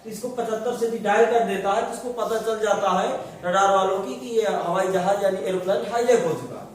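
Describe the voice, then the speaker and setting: A man's voice: high-pitched, a little fast. A man teaching a class, explaining step by step and asking and answering his own questions. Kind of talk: classroom lecture. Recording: distant, very echoey room.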